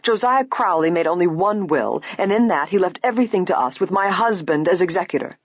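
A woman voicing a haughty, indignant society matron in a story reading, sharp and demanding. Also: on the low side, lively intonation, a little fast, slightly rough, dry recording, lo-fi sound, pitched above the performer's own voice. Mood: indignant, stormy.

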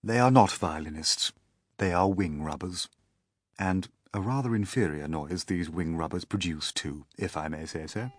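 A man voicing an old, gentle male grasshopper who lectures kindly and is proud of his music. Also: low-pitched, normal speed, no echo, voice pushed down. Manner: kindly, lecturing, fond of his own skill.

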